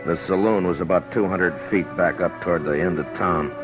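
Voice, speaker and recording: A man with an American accent playing a stern, watchful frontier marshal narrating in an old radio western, terse and deliberate. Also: low-pitched, natural voice. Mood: grim. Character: stern, watchful male frontier marshal telling his story. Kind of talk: 1950s radio western acting, first-person narration and dialogue. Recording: vintage radio recording with music.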